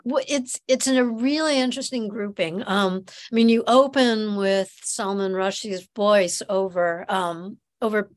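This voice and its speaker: A woman hosts a podcast interview at a middle pitch, thinking aloud as she frames her questions. She fills her pauses with soft ums and builds each question in stages. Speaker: podcast host.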